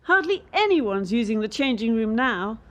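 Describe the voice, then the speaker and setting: A woman's voice: on the high side, very animated, normal speed, fairly bright tone. A woman acting in a radio play as a bitter, defiant young elite gymnast under pressure. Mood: bitter, wary.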